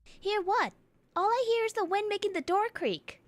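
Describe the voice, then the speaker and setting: A woman doing a bubbly, squeaky young huntress. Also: moderate pace, background noise. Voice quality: bubbly, excitable. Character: hyper cheerful teen huntress girl. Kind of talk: fan audio drama acting.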